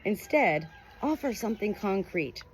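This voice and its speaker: A woman's voice: medium pitch, big pitch swings, unhurried, background noise. A woman reading a nonfiction book aloud as scripted audiobook narration. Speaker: audiobook reader.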